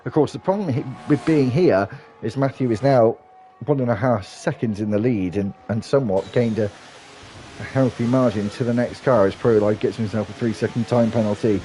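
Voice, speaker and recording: A man giving live commentary on an online sim race, talking in a steady running flow with small restarts and sounding a bit stuffy from hay fever. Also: mid-range voice, normal speed, a little hiss or hum.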